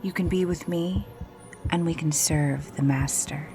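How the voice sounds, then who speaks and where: A woman's voice: low voice, steady tone, a little slow, over music. A woman playing the hero's sweetheart in a vampire radio play. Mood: seductive, entranced.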